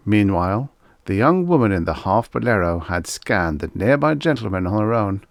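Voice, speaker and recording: A man narrates a scripted story in a measured, expressive way. His voice sits on the low side with lively movement in pitch, and the recording is dry and close. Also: no room sound. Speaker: audiobook narrator.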